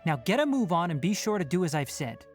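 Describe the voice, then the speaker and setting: A composed young man, an exacting forest ranger master in a video game. The voice sits low but moves in a lively, articulate, instructive way. Faint music runs underneath. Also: quick pace. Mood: brisk.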